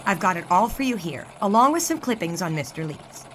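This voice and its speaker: An actress playing a bookish, precise young woman who quietly corrects others, in a period radio drama. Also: on the low side, big pitch swings, brisk, a little hiss or hum. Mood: precise, efficient. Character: brisk, precise, bookish young daughter of newspaper boss.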